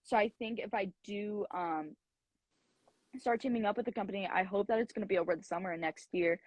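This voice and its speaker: A woman's voice: mid-range voice, brisk, dry recording. A young woman around twenty, a college golfer from the United States, answering interview questions in a chatty way with frequent fillers.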